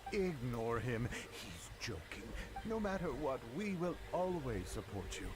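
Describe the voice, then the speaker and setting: A man's voice: mid-range voice, sing-song, measured pace. A man playing a defiant, loyal, outspoken young theater troupe member in an anime video game. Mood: supportive.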